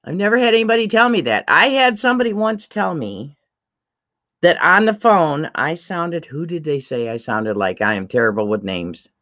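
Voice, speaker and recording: A middle-aged woman with a deep voice, hosting a casual livestream chat, chatty and laughing often as she reads out comments. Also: expressive, moderate pace, fairly bright tone, highs cut off. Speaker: livestream host.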